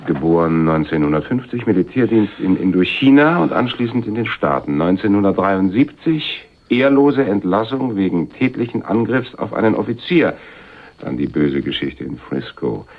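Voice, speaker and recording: An actor playing a shrewd, wealthy, condescending power broker in a German crime radio play, speaking in a suave, measured way. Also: male voice, on the low side, big pitch swings. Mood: smug, lecturing. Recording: muffled 1980s radio drama recording.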